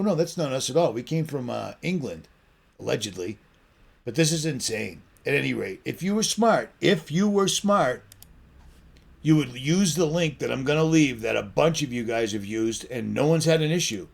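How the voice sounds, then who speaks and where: Gravelly middle-aged American man, blunt and insistent. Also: medium pitch. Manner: blunt, insistent, rhetorical questions. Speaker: online channel host. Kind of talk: off-the-cuff monologue commentary.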